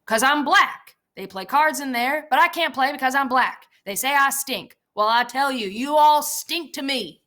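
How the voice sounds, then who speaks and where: A woman reading the part of a bitter, guarded older male stable hand, with blunt, sharp rural speech. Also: high voice, normal speed, few pauses, voice pushed up. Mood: hostile.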